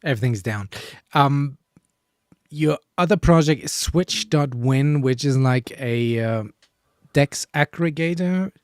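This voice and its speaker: Casting: man, mid pitch, easygoing livestream host, voice going hoarse, dry close sound. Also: takes it slowly, no echo. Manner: agreeable, frequent short affirmations. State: losing his voice. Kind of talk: casual livestream hosting.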